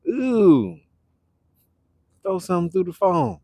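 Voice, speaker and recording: A man's voice: high-pitched, animated, slow, vocal fry, mellow, dry recording. A man hosting a casual livestream, chatting with viewers and answering their comments. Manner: laid-back, repeats phrases, reads chat aloud. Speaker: livestream host. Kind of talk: casual live chat with viewers.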